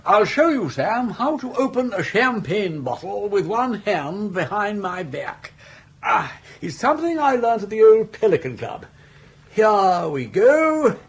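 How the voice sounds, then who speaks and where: An actor playing a jaunty, persuasive older English gentleman in a radio comedy, with an upper-class accent and a breezy, coaxing manner. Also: high voice, sing-song, a little slow, slightly rough, exaggerated voice. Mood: jovial. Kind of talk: British radio comedy drama.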